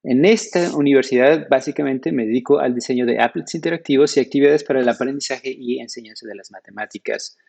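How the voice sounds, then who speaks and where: A young man with a Mexican Spanish accent walks through his slides in a measured, explanatory way, like a teacher at a conference session. His voice has a gravelly edge and a somewhat husky texture. He speaks into a computer webcam in a small room. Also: mid-range voice, a little mellow. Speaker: conference presenter. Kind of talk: recorded slide presentation.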